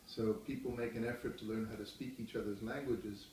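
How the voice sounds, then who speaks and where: A middle-aged man calmly explaining things to his child during a home interview, restarting phrases as he thinks. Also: lowish voice, fairly quick, a little hiss or hum. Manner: calm, explanatory, gently correcting himself. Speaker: interviewee, parent. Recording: echoey room, mic far off.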